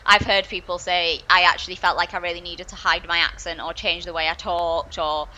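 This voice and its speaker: A young woman with a soft Yorkshire accent, chatting earnestly on a podcast, circling back and restarting her thoughts as she goes. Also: fairly low pitch, flat delivery, brisk, muffled recording. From Yorkshire, England. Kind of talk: casual discussion.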